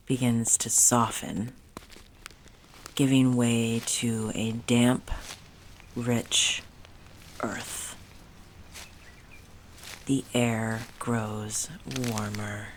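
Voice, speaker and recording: Very slow, low-pitched woman guiding meditation. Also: darkish tone, a little hiss or hum, rough recording. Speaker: guided meditation narrator. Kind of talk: slow, soothing guided meditation.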